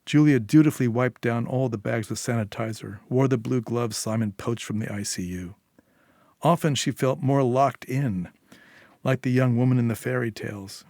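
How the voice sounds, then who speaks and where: Male storyteller for dramatic short fiction, middle pitch, dry close sound. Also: moderate pace, darkish tone, no room sound. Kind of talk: scripted narration, dramatic short story reading.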